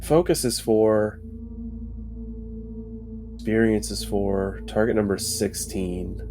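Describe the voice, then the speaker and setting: Low-voiced man, music clearly behind. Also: takes it slowly. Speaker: tabletop game player. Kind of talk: casual game table chat.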